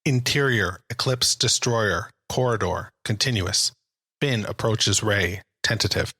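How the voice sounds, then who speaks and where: A man's voice: on the low side, a little slow, breathy edge. A man delivering dramatic, scripted present-tense narration for an audio drama. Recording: narration under loud music.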